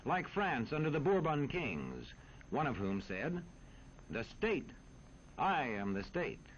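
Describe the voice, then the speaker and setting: Male narrator, formal and measured, lively intonation, 1940s educational film, dull noisy recording. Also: medium pitch.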